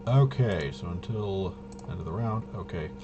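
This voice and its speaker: A man thinking aloud in casual tabletop gameplay commentary, halting and muttering to himself. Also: low-pitched, animated, background noise. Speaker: tabletop RPG player and presenter.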